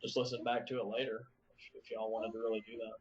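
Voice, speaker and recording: A man's voice: lowish voice, flat delivery, fast, a little hiss or hum. A man in casual, agreeable conversation as a live stream co-host. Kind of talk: casual chat. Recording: slightly dull lo-fi online audio.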